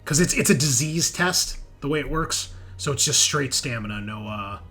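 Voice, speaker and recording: A man's voice: medium pitch, expressive, moderate pace, sharp tone. A man running a sci-fi horror tabletop game as game master, narrating scenes descriptively and swearing casually. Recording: faint background music.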